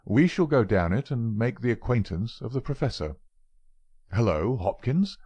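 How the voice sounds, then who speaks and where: A man voicing a confident male detective who speaks in a measured, probing way. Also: medium pitch, animated, dark tone, breathy edge, no room sound. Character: sharp, confident male detective, middle-aged.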